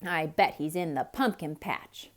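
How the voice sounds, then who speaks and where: A woman's voice: low-pitched, unhurried, pitched below the performer's own voice. A woman voicing a bossy, scornful cat with a snappy manner in a children's storybook. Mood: scoffing. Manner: snappy, scoffing.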